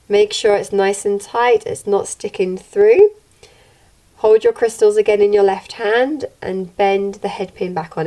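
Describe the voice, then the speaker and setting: Young woman, slightly croaky, gentle steady instructions, home tutorial. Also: mid-range voice. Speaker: craft channel host. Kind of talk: step-by-step tutorial narration. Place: home room, indoors.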